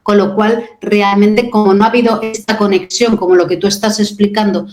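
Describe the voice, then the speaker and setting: A woman in her fifties hosts a radio interview in a steady, reflective way. Her voice is middle-pitched, and the room around her rings with echo. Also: a little fast, faint music behind. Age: 50s. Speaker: radio host.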